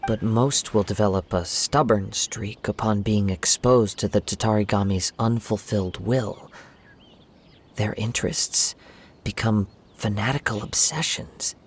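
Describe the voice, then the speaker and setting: An actor voicing a calm, thoughtful young wandering swordsman in a video game, speaking in measured, formal phrases. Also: on the low side, slight background noise. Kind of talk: video game voice acting, scripted dialogue. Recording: game music behind the voice.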